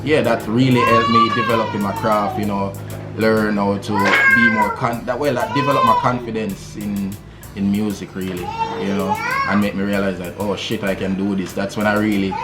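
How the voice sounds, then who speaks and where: A Jamaican man, a musician, telling his story in a relaxed interview, with many fillers and easy laughs. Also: on the low side. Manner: laid-back, many fillers, laughs easily. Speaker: musician guest.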